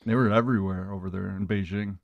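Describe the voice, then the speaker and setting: A man's voice: sits low, fairly even intonation, fast, vocal fry. A man chatting casually as a guest on a live radio talk show. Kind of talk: casual chat. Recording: dull, dry, band-limited call audio.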